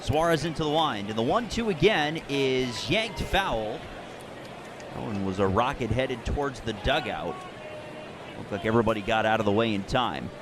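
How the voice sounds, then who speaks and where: Male baseball radio announcer over clear background noise. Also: mid-range voice, lively intonation, moderate pace. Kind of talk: live radio sports commentary with sponsor reads.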